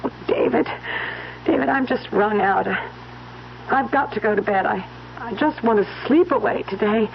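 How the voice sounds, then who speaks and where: An actress playing a gentle, weary young wife, hesitant and emotional in an old-time radio mystery. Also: female voice, mid-range voice, normal speed, vocal fry, crowd noise, natural voice. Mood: weary, soothing. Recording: dull, noisy old radio recording.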